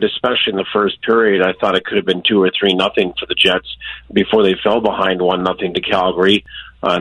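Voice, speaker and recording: A man with a fairly low voice talks through sports analysis as a radio guest. He is conversational and measured, explaining as he goes, and the sound is dry and close. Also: a bit bright, no echo, rough recording. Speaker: guest radio play-by-play broadcaster.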